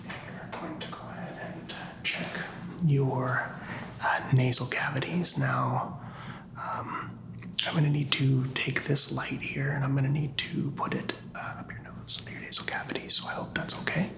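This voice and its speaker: A man speaking in a soft, breathy whisper, playing a doctor in an ASMR medical exam, gentle, halting and reassuring. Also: medium pitch, fairly even intonation. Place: small doctor's office.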